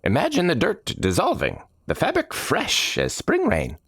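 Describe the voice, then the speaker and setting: A man doing an eccentric, lilting, high-pitched mischievous mage. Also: huge pitch swings, normal speed, a bit bright, breathy edge, dry recording, clean studio sound, heavily acted. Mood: coaching. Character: eccentric mischievous mage with pocket watch, wry and theatrical.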